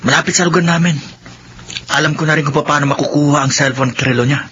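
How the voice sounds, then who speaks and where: An actor playing an insolent, hot-tempered young drug addict in a Filipino radio drama. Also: male voice, a little high, steady tone, fast, bright, forceful tone, dull audio, slight background noise. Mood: scheming. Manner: insolent, belligerent.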